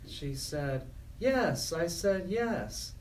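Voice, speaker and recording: A man hosting a radio talk show, speaking deliberately with pauses. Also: fairly high pitch, animated, recorded from a distance, slight background noise, rough recording. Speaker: radio host and interviewer. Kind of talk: joke telling and interviewing on a radio talk show.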